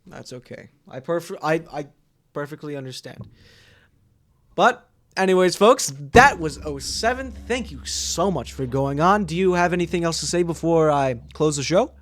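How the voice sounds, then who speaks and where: A man's voice: a little high, lively intonation, moderate pace, a bit bright. A man hosting a roleplay talk show over game voice chat, musing and rambling with frequent laughs.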